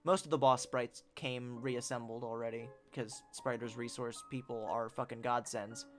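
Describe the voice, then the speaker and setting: A man's voice: mid-range voice, fairly even intonation, normal speed, a bit bright. A man hosting a game stream, chatting casually and reading out narration, with music behind him.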